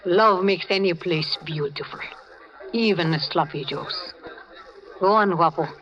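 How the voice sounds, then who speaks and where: A woman's voice: lowish voice, a little slow, lightly acted. An actress playing a tender, teasing, wistful young Cuban woman with a Spanish accent in 1940s radio drama. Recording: muffled old radio recording with music.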